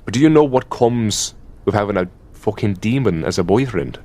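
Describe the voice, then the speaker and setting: Guy doing an irish elder demon boyfriend for asmr roleplay, lowish voice, sardonic, sweary, fed up and possessive. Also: lively intonation, a little mellow, natural voice. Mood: possessive, bargaining. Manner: sardonic, sweary, halting conversational delivery.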